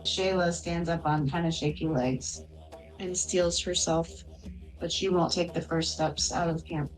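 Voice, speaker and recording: A woman's voice: fairly low pitch, fairly even intonation, a little slow, poor audio. A woman player chatting and describing her character in a tabletop role-playing game. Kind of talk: casual tabletop game chat and narration. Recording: music under the voice.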